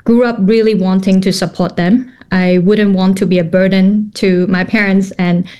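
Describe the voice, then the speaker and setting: A woman's voice: medium pitch, steady tone, moderate pace, dark tone. A woman in her thirties from Hong Kong, a company founder answering interview questions thoughtfully, with many fillers and restarts.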